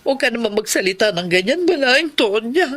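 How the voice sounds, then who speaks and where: A woman's voice: a little high, expressive, brisk, fairly bright tone. An actress playing a fretful, kindly older Filipino mother in a drama, exclaiming and soothing by turns. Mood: startled, anxious.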